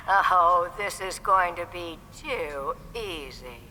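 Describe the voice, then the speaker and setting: Woman acting taunting villainess, echoing intercom, forceful bright tone, slow, gloating, menacing, audio drama. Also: lowish voice, lively intonation, exaggerated voice. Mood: amused menace. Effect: intercom in a hollow space. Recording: long hall reverb, faint noise.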